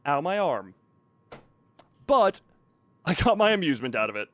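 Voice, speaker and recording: A man's voice: on the high side, very animated, normal speed. A man giving casual live gameplay commentary, rambling, self-deprecating and thinking aloud. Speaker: video game streamer. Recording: faint background noise and game ambience.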